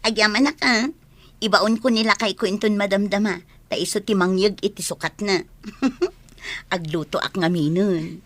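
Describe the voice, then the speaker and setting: A woman's voice: mid-range voice, fairly even intonation, moderate pace, fairly bright tone, no echo, natural voice. A woman playing a friendly, admiring neighbour in an Ilocano radio drama. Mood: admiring, cheerful.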